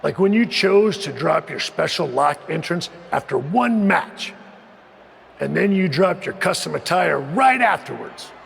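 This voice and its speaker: Gravelly, exasperated veteran wrestling boss. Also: male voice, fairly bright tone, heavily acted. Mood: stern, exasperated. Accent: American. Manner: emphatic, scolding. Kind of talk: wrestling promo acting. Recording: booming arena reverb, faint noise.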